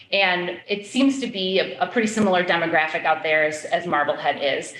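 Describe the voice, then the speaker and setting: Woman, school committee chair, earnest, halting with fillers, lower voice, roomy echo. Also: fairly bright tone. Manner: earnest, with many fillers and restarts. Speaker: school committee member chairing a public forum. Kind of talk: public meeting, answering questions.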